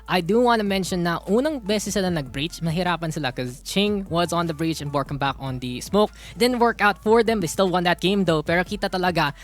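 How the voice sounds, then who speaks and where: A man's voice: high-pitched, fairly quick, hardly any pauses. A Filipino-accented man hosting and commentating on esports, chatty and playful, mixing English with Tagalog as he builds hype. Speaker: esports caster and panel host.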